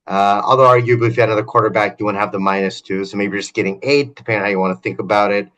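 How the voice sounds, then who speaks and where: A man's voice: on the low side, steady tone, rapid-fire, fairly bright tone. A man co-hosting a fantasy game podcast, explaining strategy in an analytical, talkative way. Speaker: podcast co-host.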